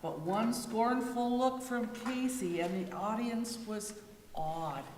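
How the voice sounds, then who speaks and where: Female reader, measured dramatic verse, mid voice, reverberant room with background noise. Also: a little high, darkish tone. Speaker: readers theater performer. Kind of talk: dramatic poetry recitation. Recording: echoey hall with clear background noise.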